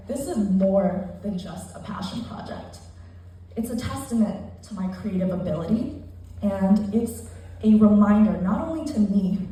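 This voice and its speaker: A woman's voice: medium pitch, mellow. A young woman giving an earnest, rehearsed motivational speech at a conference, stressing her points in rhetorical lists. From United States, immigrated at seven. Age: young adult. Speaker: conference speaker. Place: large conference hall. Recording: echoey hall from far off.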